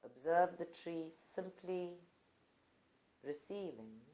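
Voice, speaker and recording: Woman, meditation guide, lowish pitch, very unhurried pace, short deliberate phrases, frequent pauses. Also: lively intonation, mellow. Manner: slow, deliberate, pausing between short phrases. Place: live group session at a centre.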